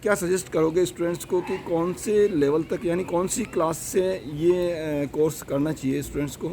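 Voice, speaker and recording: A man's voice: fairly high pitch, steady tone, normal speed, dark tone, a little hiss or hum. A man interviewing a guest, asking polite questions and giving frequent short agreeing replies. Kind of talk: casual interview.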